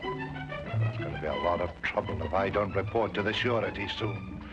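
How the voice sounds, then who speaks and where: Flustered middle-aged police inspector, gruff and halting, very animated delivery, old-time drama with music underneath. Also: male voice, sits low. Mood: defensive. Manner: halting, excitable, blustering. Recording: dull old drama soundtrack, music behind.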